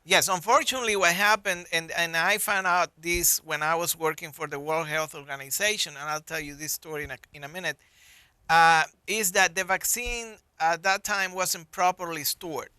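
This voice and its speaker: A man with a Colombian accent speaks earnestly as an interview guest, in a fairly high, bright and forceful voice. His tone is smooth and resonant, and he sometimes repeats a word as he searches for the next. The sound is close and dry. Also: fairly even intonation, moderate pace, no room sound. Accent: Colombian Spanish. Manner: earnest, repeats words while finding them. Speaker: guest doctor. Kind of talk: conversational interview.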